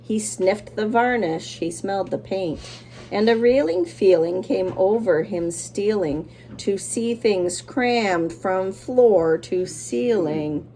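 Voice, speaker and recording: A woman's voice: medium pitch, animated, takes it slowly, dark tone, a little hiss or hum, rough recording. A woman teacher doing a children's read-aloud, with simple, encouraging explanations for young listeners.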